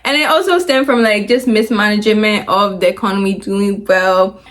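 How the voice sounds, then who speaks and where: A woman's voice: mid-range voice, moderate pace. A young woman from Ghana with a Ghanaian accent, explaining a current issue in chatty vlog commentary. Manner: chatty, acts out quoted voices. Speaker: video commentator.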